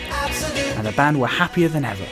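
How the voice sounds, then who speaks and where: A man's voice: medium pitch, expressive, normal speed, over music. A man reading scripted narration for a story, as its narrator.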